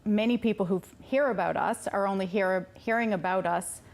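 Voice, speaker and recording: A woman's voice: mid-range voice, normal speed, gravelly. A woman speaking as a charity representative, explaining things as a guest in a TV studio interview. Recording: faint background noise.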